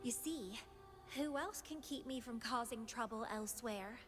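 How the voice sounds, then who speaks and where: High-voiced, teasing, obsessive young woman. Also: a little slow. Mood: teasing, excited. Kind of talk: anime-style video game voice acting. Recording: loud music behind the voice. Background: music.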